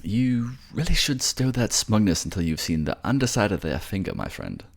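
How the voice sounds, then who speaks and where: A kind, courtly prince from a fantasy audio drama, acted out by a man. His voice swings in lively arcs, lifted well above the actor's own low register, as he gently teases and reassures. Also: normal speed, gravelly, exaggerated voice. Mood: teasing, reassuring. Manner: chatty, courtly, teasing.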